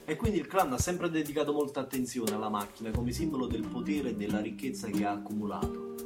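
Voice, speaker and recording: A man's voice: mid-range voice, fast, far from the mic, lightly acted. An actor playing a bitter, plain-spoken young man from a small Camorra town, in a colloquial theatrical monologue with a Campanian accent and emphatic repetitions. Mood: matter-of-fact, bitter. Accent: southern Italian, Campanian. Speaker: stage actor. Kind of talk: theatrical monologue, first-person narration. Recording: music behind the voice. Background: plucked guitar music.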